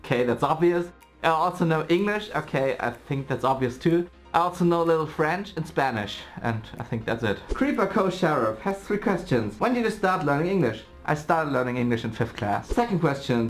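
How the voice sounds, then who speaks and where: A man's voice: fairly high pitch, expressive, a little fast, faint music behind. A man in his twenties with a German accent, a native German speaker hosting a casual vlog Q&A and reading out viewer questions in a playful, giggly way. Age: 20s. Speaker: YouTuber.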